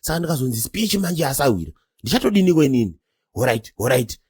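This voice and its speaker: A man's voice: medium pitch, very animated, fast, darkish tone, husky, dry recording. A man voicing a bossy, grumbling uncle on gate duty in a comedy cartoon, argumentative and exclaiming.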